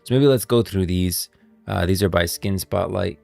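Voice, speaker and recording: A man's voice: low-pitched, normal speed, vocal fry, darkish tone, dry recording, soft background music. A man giving casual commentary on video game lore as a streamer, recorded close and dry.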